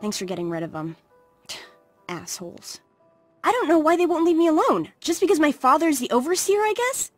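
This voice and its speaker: A woman's voice: sits high, sing-song, brisk, no echo, soft background music. A woman voicing an exasperated, feisty teenage girl in a video game, indignant and earnest in her delivery. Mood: annoyed. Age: young adult. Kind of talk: video game dialogue acting.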